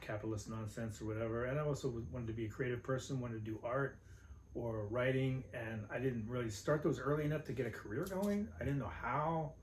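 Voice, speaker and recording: A man's voice: medium pitch, fairly quick. Laid-back guy cohosting a home podcast, laughs a lot, echoey room, bit of hiss. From Los Angeles area, United States. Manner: easygoing, laughs often, trails off. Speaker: podcast co-host. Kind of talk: casual chat. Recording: roomy home recording with faint noise.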